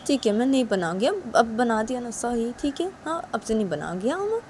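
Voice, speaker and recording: A woman voicing a meek middle-aged mother-in-law who coaxes and apologizes to calm someone down. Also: on the high side, brisk, slight background noise, voice pushed up. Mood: placating. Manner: placating, coaxing, apologetic.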